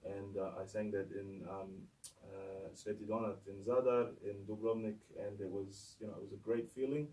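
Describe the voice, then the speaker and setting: A man with a New Zealand accent giving conversational interview answers as a guest singer, halting as he moves between two languages. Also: on the low side, level tone, a little mellow, recorded from a distance, poor audio. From Samoa and New Zealand.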